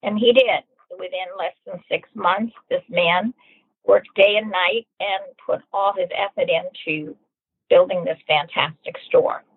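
A woman's voice: on the low side, steady tone, a little slow, slightly rough, no room sound. A woman in her sixties or older, fondly and reflectively telling her own story as an interviewee. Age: 60s or older. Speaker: former store owner, interviewee. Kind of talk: personal recollection, storytelling. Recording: muffled, narrow-band, dry recording.

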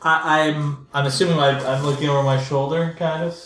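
Hesitant player in an echoey room. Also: male voice, a little high, little pitch movement, fairly quick, a bit bright, slight background noise. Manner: hesitant, tentative, thinks aloud. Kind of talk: playing a tabletop game.

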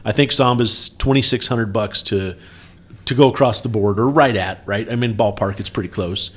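A man's voice: on the low side, normal speed. Man talking casually, earnest and explanatory. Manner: earnest, explanatory, often seeking agreement. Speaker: trucking company podcast co-host. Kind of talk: casual workplace talk with anecdotes.

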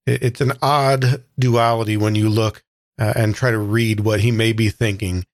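A man's voice: on the low side, fairly even intonation, normal speed, dry recording. Thoughtful male tabletop player, dry sound. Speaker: guest player in a tabletop game. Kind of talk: improvised descriptive storytelling.

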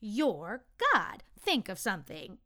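Storybook little soul, played by a woman: childlike, curious and innocent, high-pitched with big swoops. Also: takes it slowly. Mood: hopeful. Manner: excitable, wide-eyed, childlike.

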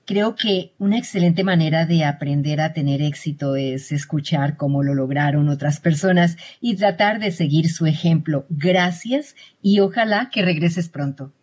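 Female host, husky and low, polite formal questions. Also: moderate pace, few pauses, a little mellow. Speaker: interview host. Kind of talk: scripted interview questions.

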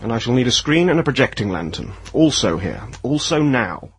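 A man's voice: mid-range voice, sing-song, fairly quick, breathy edge, no echo. A man playing a curt, weary and menacing aristocratic lord in an audio drama, with a commanding, clipped delivery. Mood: imperious.

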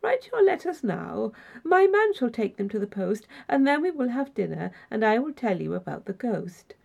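A woman voicing a courteous, formal older gentleman in an audiobook story, a solicitous and welcoming host. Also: on the high side, sing-song, moderate pace, vocal fry, heavily acted. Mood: kindly, solicitous.

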